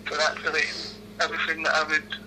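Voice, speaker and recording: A man's voice: a little high, completely flat delivery, fast talker, very noisy. A man in his twenties with a Glaswegian accent, answering interview questions over a phone call in a hesitant, laughing way, full of ums and restarts. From Scotland. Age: 20s.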